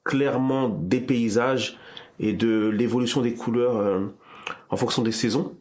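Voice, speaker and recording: A man's voice: medium pitch, steady tone, unhurried, a little mellow. A man hosting a casual discussion, thinking aloud with frequent restarts and repeated words. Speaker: podcast host.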